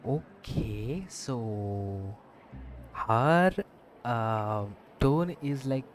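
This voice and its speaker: A man giving casual gameplay commentary in a hesitant, halting way. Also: mid-range voice, slight background noise. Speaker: game streamer. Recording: game music behind the voice.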